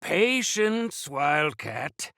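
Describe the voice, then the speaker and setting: An actor playing a proud, stalwart older leader of mountain folk in a video game, with a rough gravelly voice and theatrical, old-fashioned formal phrasing. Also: male voice, a little high, big pitch swings, extremely slow, pushed and bright, dry recording, clean studio sound, exaggerated voice. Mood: encouraging. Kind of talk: video game character acting.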